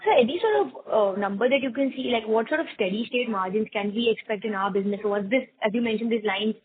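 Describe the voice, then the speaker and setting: Fast, husky Indian-accented woman on phone. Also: on the high side. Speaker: analyst asking questions. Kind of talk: earnings call questions. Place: conference call. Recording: tinny phone-like conference line.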